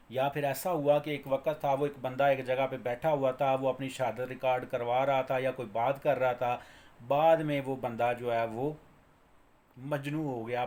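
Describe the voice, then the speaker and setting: A man's voice: medium pitch, darkish tone. A man with a Pakistani accent lecturing informally on law, explaining by repeating and rephrasing, with English terms mixed in.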